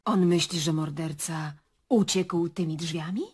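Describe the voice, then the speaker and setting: An actress playing a nosy, persistent journalist in a radio play, husky and coaxing as she probes. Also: sits low, steady tone, measured pace, a little mellow. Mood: skeptical, curious. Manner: probing, coaxing.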